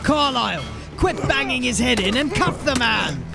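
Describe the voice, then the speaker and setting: A gruff watch sergeant. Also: male voice, extremely high pitch, very animated, moderate pace, exaggerated voice. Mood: urgent. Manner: commanding, blunt, dry. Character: gruff veteran male sergeant of a city watch. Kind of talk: audio drama acting. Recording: music bed under the voice. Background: music.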